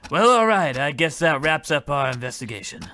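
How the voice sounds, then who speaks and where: An actor playing a blundering, self-important, freeloading cop in an old-time radio comedy, rambling in a folksy, oblivious way. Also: male voice, on the high side, big pitch swings, a little fast, pushed and bright. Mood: breezy.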